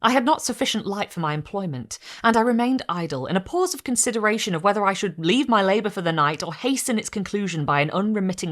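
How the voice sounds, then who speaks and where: Woman, storyteller host, gothic readings, very lively pitch, theatrical hushes. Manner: theatrical, hushed drops to a whisper. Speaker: host reading a novel aloud. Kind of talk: dramatic reading of gothic fiction.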